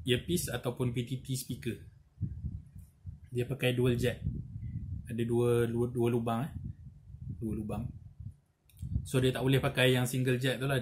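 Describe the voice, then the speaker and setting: A man with a Malaysian accent giving a casual product tutorial, explaining in a halting, repetitive way with frequent conversational tags. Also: medium pitch, darkish tone. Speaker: product reviewer and seller. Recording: noisy, with audible background noise and clicks.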